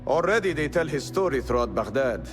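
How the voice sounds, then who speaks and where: Man playing male rebel leader, defiant, solemn, declamatory, medium pitch, cinematic game drama. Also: sing-song, fairly quick, over music, natural voice. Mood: solemn, resolute. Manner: grand, declamatory. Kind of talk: cinematic video game acting.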